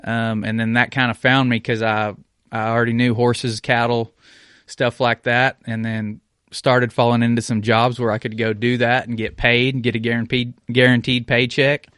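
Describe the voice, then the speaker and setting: A man's voice: mid-range voice, little pitch movement, normal speed, fairly bright tone, clean tone, dry recording, studio quality. A young man talking as a podcast guest in a laid-back, plainspoken way, with hesitant fillers. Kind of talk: casual interview chat. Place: podcast studio.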